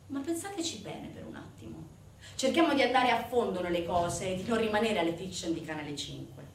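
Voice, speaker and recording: A woman performs a dramatic spoken monologue with very lively, emphatic intonation. She speaks directly to her listeners as a stage storyteller. The sound is roomy and somewhat distant, with faint background noise. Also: a little high. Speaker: stage actress. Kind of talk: theatrical narrative monologue to an audience.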